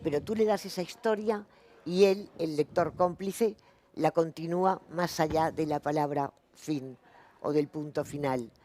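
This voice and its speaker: An older woman writer speaking on a festival panel in a reflective, measured way. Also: deep voice, warm tone. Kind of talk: panel discussion. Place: festival stage.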